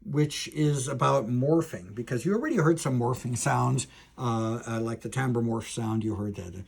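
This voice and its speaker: A man's voice: mid-range voice, normal speed, gravelly, slightly rough. A man lecturing as a professor on a technical subject, explaining step by step in a hesitant, thinking-aloud way with self-corrections.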